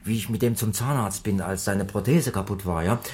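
A man's voice: fairly low pitch, a little fast, a little mellow, slight background noise, natural voice. An actor playing a talkative, jovial circus man who chats colloquially in radio drama style. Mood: fondly reminiscing. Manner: colloquial, jovial, tags sentences with questions. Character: chummy, talkative circus man sharing beer and cigars. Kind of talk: 1980s German radio drama acting.

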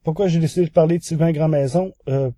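A man with a Quebec French accent presents a podcast segment. His voice is a little high and mellow, recorded dry. He moves through his material in an orderly, methodical way. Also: fast, no room sound. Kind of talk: semi-scripted podcast news segment.

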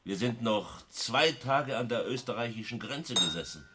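An actor playing a stout, jovial, excitable Austrian war veteran in a radio play, effusive and given to repeating himself. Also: male voice, medium pitch, moderate pace, noisy background, close to an everyday voice. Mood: warm, eager. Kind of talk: German radio play acting.

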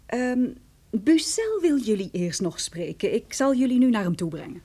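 A woman's voice: a little high, sing-song, moderate pace, dark tone, husky. An actress in a Dutch radio play playing a helpful professional woman with a polite, businesslike manner. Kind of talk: Dutch radio drama acting.

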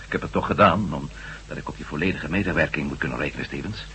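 An actor playing a determined former astronaut turned reporter in a radio drama, terse and earnest. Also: male voice, very deep voice, quick pace, a bit bright, big-room sound, low-quality recording. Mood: earnest, resolute. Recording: faintly hissy old radio drama recording.

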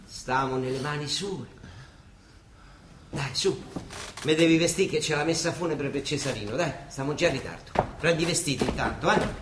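An actor playing a bustling, grumbling but fond monsignor with a Roman accent, in a theatrical radio drama style. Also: male voice, mid-range voice, background noise, lightly acted. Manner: colloquial, chivvying, muttering and exclaiming. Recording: echoey stage-like sound with music behind.

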